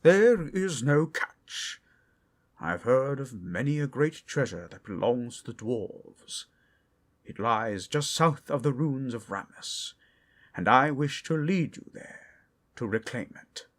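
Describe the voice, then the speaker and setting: A man's voice: mid-range voice, animated. A man playing a grand, mysterious human wizard. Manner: theatrical, slow and hushed at times.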